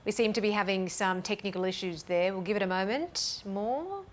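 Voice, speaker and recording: A woman news anchor introducing a correspondent and moving on to the next story, composed and crisp. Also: medium pitch, normal speed, slight background noise. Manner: crisp, composed studio delivery. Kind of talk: news presenting.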